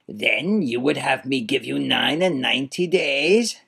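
A man doing a gravelly, sneering villain duke. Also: mid-range voice, expressive, a bit bright, voice pushed up, full character voice. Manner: slow, menacing, mocking. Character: cold sneering old male villain duke. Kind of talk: audiobook character voice.

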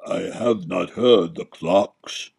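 A man voicing a weary, unflappable spy in a storybook, gravelly, laconic and dry. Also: deep voice, slow, darkish tone, full character voice. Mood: deadpan. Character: weary, unflappable male spy serving a wicked duke. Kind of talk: dramatised storybook narration.

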